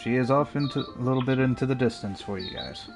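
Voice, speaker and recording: Game master for a tabletop session, mid-pitched, hesitant and wordy, light music with chirping birds underneath. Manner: halting, roundabout phrasing. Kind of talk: casual tabletop game narration.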